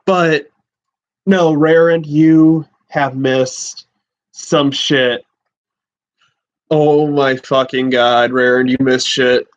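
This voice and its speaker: Young man in his twenties, excitable livestream host, slow halting speech, muffled lo-fi sound. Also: mid-range voice. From USA. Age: 20s. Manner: excitable, swearing, exclaiming, halting restarts. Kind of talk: excited casual livestream chat. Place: small room at home with a fish tank. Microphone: laptop and handheld phone. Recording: dull lo-fi stream audio.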